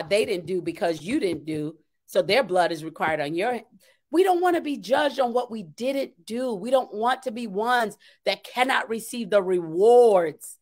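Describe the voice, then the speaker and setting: A woman's voice: on the high side, a bit bright. A middle-aged woman preacher delivering an impassioned sermon, exhorting emphatically and repeating phrases for weight, recorded in a room at home. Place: small home room, indoors.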